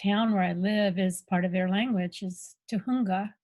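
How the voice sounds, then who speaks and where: A woman sharing on a video call in an unhurried, thoughtful way with pauses. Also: mid-range voice, fairly even intonation, darkish tone. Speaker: online summit participant. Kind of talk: reflective sharing in a group call.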